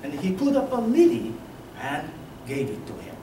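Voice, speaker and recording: A woman's voice: on the low side, sing-song, moderate pace. A young woman narrating a scripted story in a readers theatre performance. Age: young adult. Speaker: student narrator. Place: large indoor symposium room, recorded from afar. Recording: distant echoey hall, clear background noise.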